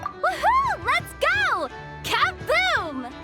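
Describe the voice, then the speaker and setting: Excitable, high-voiced young working girl. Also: extremely slow, music in the background, exaggerated voice. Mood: gushing. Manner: gushing, breezy, slightly stammering. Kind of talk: video game voice acting.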